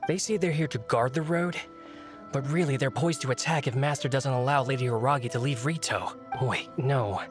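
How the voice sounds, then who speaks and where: A man's voice: medium pitch, normal speed, close to an everyday voice. A man as an earnest, worried retainer. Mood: alarmed. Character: dutiful male retainer, polite, anxious investigator. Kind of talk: video game voice acting. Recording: game music behind the voice.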